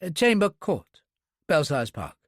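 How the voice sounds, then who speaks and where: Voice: mid-range voice, big pitch swings, no echo, clean studio sound. A narrator voicing a courteous British army major who speaks formally and directly. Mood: earnest. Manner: polite, formal and measured. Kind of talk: audiobook character voice.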